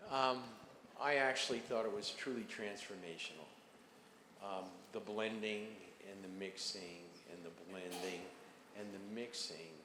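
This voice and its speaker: A man with a lowish voice speaks up from the audience, hesitant and halting as he shares. The hall sounds echoey, with clear background noise around him. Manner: hesitant, with fillers. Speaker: audience member.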